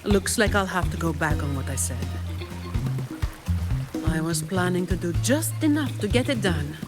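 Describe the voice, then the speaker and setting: A woman's voice: on the low side, lively intonation, moderate pace, loud music behind the voice, lightly acted. A woman playing a composed, dutiful young employee in a video game, businesslike, matter-of-fact and resolute.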